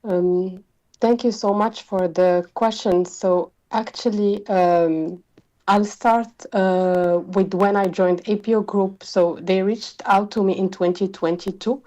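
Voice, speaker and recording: Moroccan-accented woman, mellow voice. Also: medium pitch, steady tone, slow pace, highs cut off. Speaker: interview guest, communications executive. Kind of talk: interview answers.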